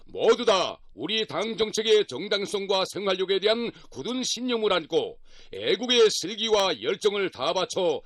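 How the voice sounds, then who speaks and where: A man's voice: high-pitched, fairly bright tone, dry recording, clean studio sound. A man with a North Korean accent reads formal state radio news in a solemn, reverent, declamatory manner.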